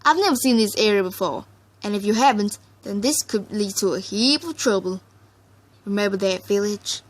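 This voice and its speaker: A woman's voice: mid-range voice, moderate pace, natural voice. A woman voicing a practical, cautious country mare with a firm, folksy way of talking. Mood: wary.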